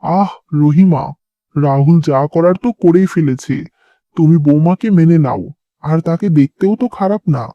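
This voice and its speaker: A man voicing a calm, placating middle-aged father and husband in a cartoon drama. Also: fairly high pitch, normal speed, no room sound, muffled recording, close to an everyday voice. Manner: placating, reasoning.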